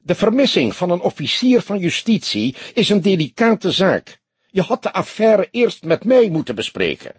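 A man's voice: sits high, big pitch swings, normal speed, slightly rough, no echo, voice pushed up, full character voice. A man voicing a stiff, affected, easily outraged police commissioner in an audiobook, stern and emphatic. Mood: stern, indignant. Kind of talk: audiobook character voice.

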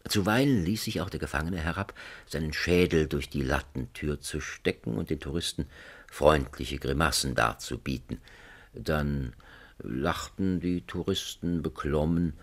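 A man narrating a satirical radio play as a wry storyteller with measured irony. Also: a bass voice, heavily acted.

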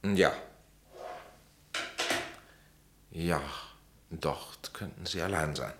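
An actor playing a blunt, dryly ironic, authoritative psychiatrist in a German radio play, with a deliberate, lecturing delivery. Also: male voice, low-pitched, noisy background. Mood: calm, probing.

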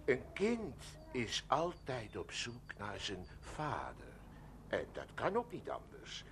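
An actor playing a pompous, pushy stranger in a Dutch radio play, stammering, repeating himself and talking floridly. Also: male voice, medium pitch, wildly swooping pitch, slow pace, a bit bright, slight background noise, full character voice. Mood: lecturing. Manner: stammering, repetitive, florid and insistent.

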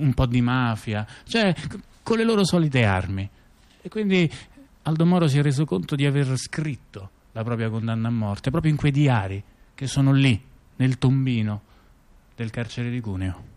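A man acting as a chatty intellectual, telling scandals in conversational stage monologue, halting and repeating himself with frequent tag questions. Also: medium pitch, a little mellow, lightly acted. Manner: halting, repetitive, full of rhetorical tag questions. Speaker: stage actor-narrator. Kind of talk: conversational monologue theatre, addressing a listener.

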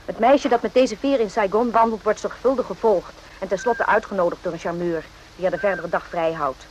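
An actress playing a composed, quick-witted woman on military staff in a radio play, crisp and matter-of-fact. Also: medium pitch, darkish tone, muffled recording, lightly acted. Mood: wry. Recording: noisy old radio play recording.

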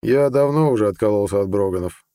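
A man's voice: on the low side, quick pace, mellow, no room sound, clean studio sound, lightly acted. A man voicing a blunt, ambitious army colonel, plain-spoken and earnest, in an audiobook. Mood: firm, conciliatory. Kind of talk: audiobook character dialogue.

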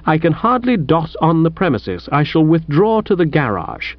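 A man voicing a breezy upper-class young gentleman in a comic audiobook, flippant and affable, with a British accent. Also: a little high, animated, normal speed, no room sound, dull audio, natural voice. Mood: a little frigid.

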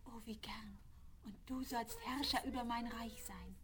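An actress playing a gentle, grateful young princess, emotive, in a 1970s children's audio play. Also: female voice, on the high side, fairly even intonation, a little slow, crowd noise, lightly acted. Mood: grateful, tender. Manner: emotive, rapturous. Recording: vinyl record rip, slight background noise.

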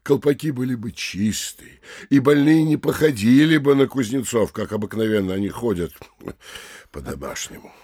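An actor playing a pompous, middle-aged provincial mayor, deliberate and admonishing, in a theatrical audio drama. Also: male voice, medium pitch, expressive, warm tone, husky, no room sound, clean studio sound.

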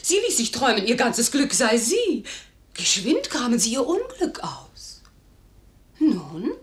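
An actress playing a high-spirited, headstrong young noblewoman in love, in classical radio drama style, exuberant and teasing. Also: wildly swooping pitch, measured pace. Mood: insistent, playful. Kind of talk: classical stage-play radio acting.

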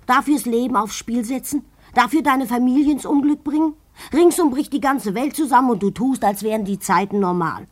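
Actress as husky, anxious, reproachful wife. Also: female voice, fairly high pitch, a little fast, close to an everyday voice. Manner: insistent, reproachful. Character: anxious, bitter, pressing wife of a clerk. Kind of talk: German radio play acting.

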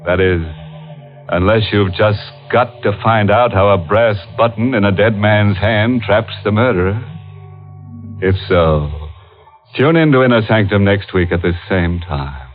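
A man's voice: fairly low pitch, measured pace. A man hosting a scripted 1940s radio horror show, sardonic, theatrical and mock-sinister. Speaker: host. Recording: tinny old radio recording. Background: music.

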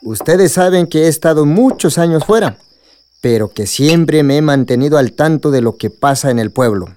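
An actor playing a hospitable, earnest middle-aged village leader with a Mexican accent, formal and courteous, in a radio drama. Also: male voice, on the high side, animated, normal speed, warm tone, a little hiss or hum, lightly acted. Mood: welcoming.

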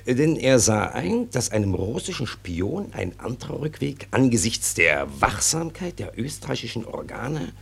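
Husky, excitable police sergeant, officious. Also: male voice, medium pitch, moderate pace, hardly any pauses, exaggerated voice. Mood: dictating, solemn. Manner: officious, wheedling, self-satisfied. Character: excitable, self-important, sly provincial police sergeant interrogating a suspect. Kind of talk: German radio play acting.

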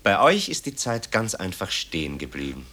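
A man's voice: low-pitched, very animated, normal speed, pushed and bright, heavily acted. An actor playing a restless, evasive grown son in a German radio play, placating his mother in a guarded, dryly ironic way. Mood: placating, wry.

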